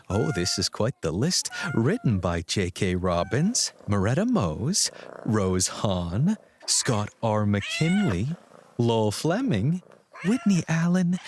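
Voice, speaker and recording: A man putting on a whimsical, genteel radio announcer for a comedy show. He takes his time, with swooping pitch and theatrical, playful asides. There is some noise behind him. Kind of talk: comic radio announcing of credits.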